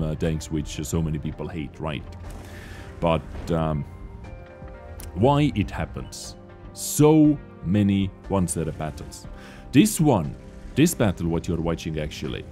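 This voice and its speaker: Young male gameplay host, low mellow voice, non-native English, chatty and lively, faint music underneath. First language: not English. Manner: chatty, animated, often addresses viewers as ladies and gentlemen. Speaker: gaming video host. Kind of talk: gameplay commentary.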